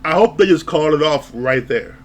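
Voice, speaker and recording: A man giving a casual, animated recap of a reality TV episode, laughing, swearing, and acting out what people said. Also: a little high, moderate pace. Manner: animated, laughs, acts out quoted lines, swears. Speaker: reality TV reviewer.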